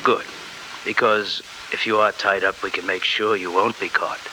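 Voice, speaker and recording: Man acting a low-voiced, suave smuggler villain. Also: level tone, normal speed, natural voice. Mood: polite menace. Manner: genteel, hesitant, smoothly threatening. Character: suave male smuggler villain, unhurried, politely menacing. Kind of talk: 1940s radio drama villain acting. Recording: noisy old 1949 radio recording.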